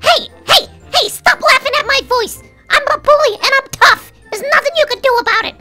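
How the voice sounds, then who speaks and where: An actor voicing a boastful, touchy boy bully with a squeaky, girlish voice in a children's comedy video. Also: fairly quick, bright, forceful tone, faint music behind, exaggerated voice. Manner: defiant, boastful, childish tough talk.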